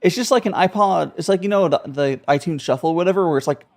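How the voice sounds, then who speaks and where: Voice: medium pitch, quick pace, darkish tone. A live streamer chatting casually while playing a video game, playfully echoing the dialogue. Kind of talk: casual gameplay livestream chat. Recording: game music behind the voice. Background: video game music and dialogue.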